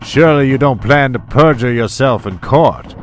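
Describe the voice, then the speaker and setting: An actor playing a ruthless, scheming older oil billionaire in an audio drama, commanding and condescending. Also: male voice, medium pitch, lively intonation, normal speed, lightly acted. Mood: angry.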